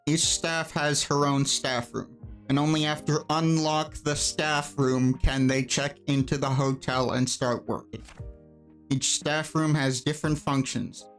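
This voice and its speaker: This is an unhurried voice playing through a visual novel and reading the text aloud. The commentary is casual, with playful, wry asides dropped in between the lines. Soft music runs underneath, and the pitch sits in an in-between range. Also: fairly even intonation. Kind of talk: casual let's-play commentary with read-aloud dialogue.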